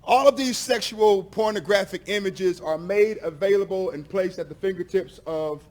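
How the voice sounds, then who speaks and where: A man speaking as a pastor at a public meeting, delivering an impassioned speech in an emphatic, deliberate, confrontational way. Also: sits high, darkish tone.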